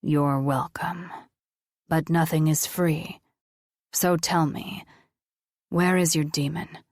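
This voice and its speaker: A woman voicing an ancient, stern male angel who speaks slowly, with grave, commanding menace. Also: sits low, fairly even intonation, darkish tone, no room sound, clean studio sound. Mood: menacing.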